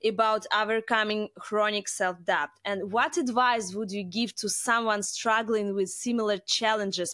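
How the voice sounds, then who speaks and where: A woman's voice: mid-range voice, fairly bright tone, no room sound, studio quality. A woman interviewer whose first language is not English, chatting agreeably and asking questions, with lots of "like" as filler. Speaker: interviewer, host. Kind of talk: conversational interview.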